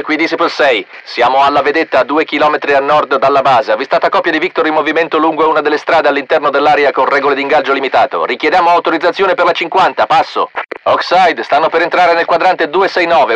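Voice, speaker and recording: A man's voice: on the high side, fairly bright tone. A man playing a confident, combat-ready mercenary lookout in a video game, reporting through a radio filter. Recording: muffled radio, faint noise and clicks.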